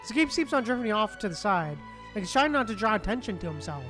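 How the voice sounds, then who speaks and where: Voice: mid-range voice, sing-song, quick pace, fairly bright tone, faint music behind. A streamer giving casual playthrough commentary and reading game dialogue aloud.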